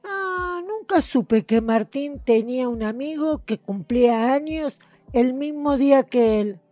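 A woman's voice: high voice, expressive, unhurried, dark tone, no echo. An actress playing a kindly, inquisitive older mother in an audio drama, with an Argentine accent. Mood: kindly, curious. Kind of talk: naturalistic audio fiction acting.

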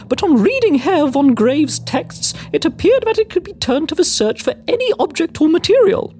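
A woman's voice: very high-pitched, a little mellow, slight background noise. A woman playing a pompous Victorian lady adventurer with a British accent, speaking formally and theatrically in an audio drama. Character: prim, pompous Victorian lady adventurer keeping an occult logbook. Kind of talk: scripted first-person audio drama narration.

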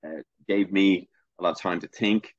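Deep-voiced hesitant Dublin man. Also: steady tone, moderate pace, no echo. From Ireland. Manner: hesitant, many fillers and restarts. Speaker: guest filmmaker. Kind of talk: reflective interview answers. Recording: muffled lo-fi sound.

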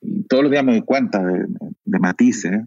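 A Chilean novelist in his forties with a Chilean Spanish accent, speaking thoughtfully, with many pauses and fillers. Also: on the low side, a little mellow, no room sound, lo-fi sound. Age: 40s. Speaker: novelist guest. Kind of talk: reflective conversation.

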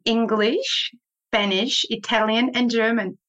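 A Brazilian woman, a native Portuguese speaker, talking through her career as a guest in a relaxed interview, with restarts and light laughs. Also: a little high, slow. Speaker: guest, board member and consultant.

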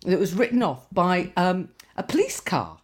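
A woman's voice: fairly low pitch, big pitch swings, normal speed. A woman, a podcast co-host telling rambling, sweary anecdotes in casual chat, her nose slightly stuffy.

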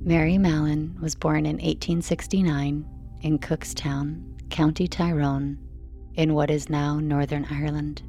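Female narrator, low-pitched and mellow, restrained soothing bedtime read, faint music underneath. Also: level tone, a little slow. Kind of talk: calm scripted bedtime non-fiction narration.

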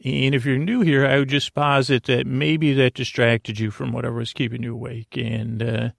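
Male sleep-podcast host: heavy vocal fry, very dry sound, soothing and halting, whimsical rambler. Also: medium pitch, little pitch movement, no room sound. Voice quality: gravelly, creaky. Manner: halting, meandering, self-interrupting; gentle, soothing, reassuring. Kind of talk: rambling, whimsical bedtime monologue.

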